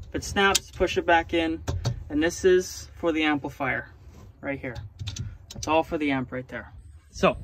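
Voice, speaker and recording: A man with a fairly high voice talks through his gear in a casual, informal vlog style. He sounds enthusiastic, like a hobbyist showing things to viewers. There is clear vehicle and ambient noise behind him as he records in and around a truck. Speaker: vlogger, CB radio hobbyist.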